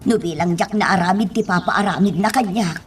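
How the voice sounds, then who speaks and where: An actress with an Ilocano accent playing a fretful, devoted nanny in a radio drama. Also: female voice, mid-range voice, brisk, distant mic, slight background noise, lightly acted. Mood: worried, scolding. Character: fretful female nanny devoted to her young ward.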